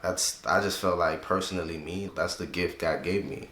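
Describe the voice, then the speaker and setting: A young man in his twenties with a very low, deep voice talks in a loose, reflective way. He often checks in with "right?" as he thinks aloud. His voice rings a little in a roomy home studio. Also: moderate pace, a bit bright, a little hiss or hum. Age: 20s. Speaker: vlogger. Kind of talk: reflective casual monologue. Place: room he uses as a home studio.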